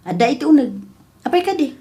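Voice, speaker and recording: A woman playing an indignant, hurt but firm wife and mother in a radio comedy drama, sharp-tongued, with an Ilocano accent. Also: sits high, sing-song, fast. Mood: firm, dismissive.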